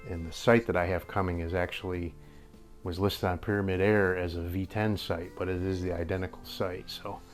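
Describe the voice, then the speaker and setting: An older man with a slightly hoarse voice, rambling through a casual airgun review in a workshop and chuckling often. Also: sits low, fairly even intonation, moderate pace, soft background music. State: a little hoarse. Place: indoor workshop with tool wall. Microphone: camera at a few feet.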